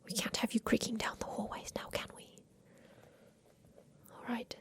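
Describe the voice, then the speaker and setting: A woman playing a doting, eccentric mansion inventor in a soft, deliberate ASMR roleplay with formal, old-fashioned phrasing. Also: close to an everyday voice. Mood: soothing, doting. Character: doting eccentric mansion inventor, plotting against her captor.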